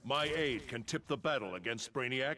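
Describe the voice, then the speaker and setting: Male actor as dignified thunder god, fighting game, formal, grave, expressive intonation, faint background noise. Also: medium pitch, moderate pace, close to an everyday voice. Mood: proud, grave. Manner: formal, stately, portentous. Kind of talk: fighting game character dialogue.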